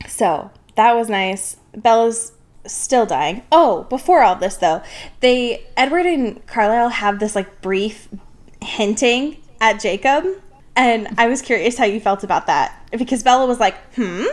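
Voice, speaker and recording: Woman podcast co-host, casual book recap, high-ish lively voice with big swoops, slangy and sarcastic. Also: a little slow. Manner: sarcastic, slangy, animated retelling.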